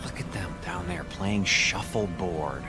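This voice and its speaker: An actor playing an irritable, highly strung husband in a radio drama, agitated in his way of talking. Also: unhurried, music in the background. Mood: irritated. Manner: agitated, complaining.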